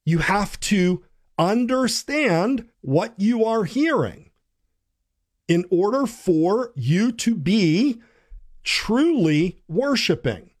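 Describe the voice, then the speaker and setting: A man speaks as the host, slow and deliberate, in a somewhat high voice. He talks earnestly and urges his listeners, often restarting his sentences and filling pauses with "um". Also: sing-song. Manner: earnest, exhorting, with restarts and fillers. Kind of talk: talk on church language.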